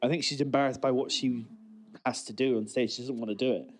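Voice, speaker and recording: A man's voice: mid-range voice, steady tone, fast, a little mellow. A man co-hosting a podcast, talking through his observations in a casual, conversational, explanatory way. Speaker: co-host of a TV commentary podcast.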